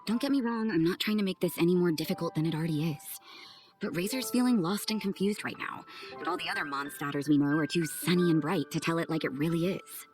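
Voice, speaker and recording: A woman's voice: on the low side, fast, darkish tone, faint music behind, close to an everyday voice. A woman playing a cool, aloof, blunt woman with hidden kindness in a video game, speaking with dry detachment. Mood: blunt challenge. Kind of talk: video game character acting.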